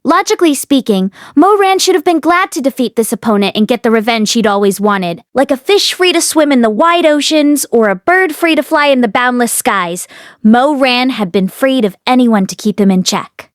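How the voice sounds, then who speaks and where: A woman reading a fantasy novel aloud as an audiobook narrator, in expressive scripted storytelling. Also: fairly high pitch, normal speed, no room sound, clean studio sound. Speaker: audiobook reader and read-along host.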